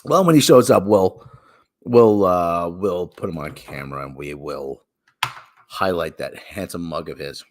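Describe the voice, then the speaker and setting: A man hosting a casual live-stream podcast, chatting loosely before a reading. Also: unhurried. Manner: lively, chatty, talks baby talk to his cat in a high voice. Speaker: podcast host.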